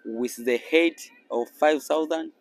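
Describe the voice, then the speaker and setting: A young man from Tanzania with a Tanzanian accent, hosting a casual street interview outdoors with a handheld mic and explaining things slowly in broken English. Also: fairly high pitch, dry recording. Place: sunny beach boardwalk outdoors.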